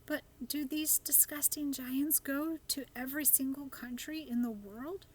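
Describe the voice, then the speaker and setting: A woman's voice: high voice, measured pace, voice pushed up, rough recording. A woman voicing a curious, easily horrified little girl in a storybook, questioning and exclaiming. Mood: wondering, concerned.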